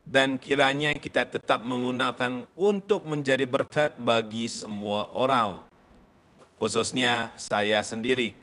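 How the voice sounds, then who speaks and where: Slow, solemn male church host. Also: medium pitch, a bit bright. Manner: slow, solemn greetings and blessings. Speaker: church channel host and reporter. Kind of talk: formal scripted introduction.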